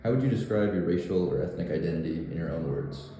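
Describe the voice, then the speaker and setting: A man conducting an interview, asking questions in a calm, measured way. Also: deep voice, slight background noise. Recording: echoey room.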